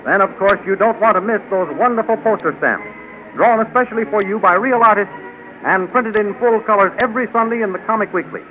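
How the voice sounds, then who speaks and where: A man's voice: high-pitched, fairly quick, faint music behind. A man with an American accent, reading scripted narration as a 1930s radio announcer in a declamatory, promotional way. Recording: dull 1930s radio recording.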